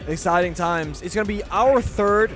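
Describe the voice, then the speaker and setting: High-voiced Danish esports color caster. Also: male voice, normal speed, fairly bright tone, faint music behind. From Denmark. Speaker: esports analyst caster, former player. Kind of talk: color commentary.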